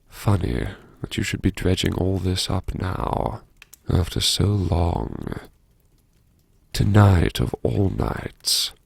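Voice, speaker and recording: A deep, heavily creaking male voice, recorded close and dry, an actor playing a brooding academic in an audiobook monologue. He muses to himself with wry self-reproach. The delivery is intimate and sardonic, heavy with regret. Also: no echo, heavily acted. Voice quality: gravelly, creaky. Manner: sardonic, musing, self-reproachful, with sighs and pauses. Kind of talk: first-person audiobook monologue, intimate dramatic narration.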